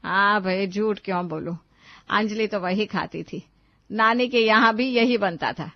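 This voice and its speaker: A woman's voice: mid-range voice, measured pace, dry recording, dull audio, lightly acted. Woman acting a weary, coaxing middle-aged mother. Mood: nostalgic. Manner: coaxing, plaintive.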